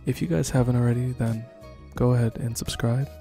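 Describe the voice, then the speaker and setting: A man's voice: medium pitch, steady tone, fairly quick, warm tone. A man casually addressing his viewers as an online creator, friendly and thankful, signing off a video. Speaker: online audio roleplay creator. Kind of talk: casual channel outro. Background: music.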